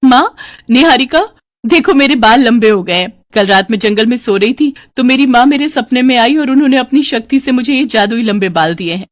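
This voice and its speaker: A woman's voice: a little high, animated, a little fast, dry recording, pitched above the performer's own voice, close to an everyday voice. A woman voicing a kind, earnest young heroine of a fairy tale in an eager, girlish manner. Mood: excited.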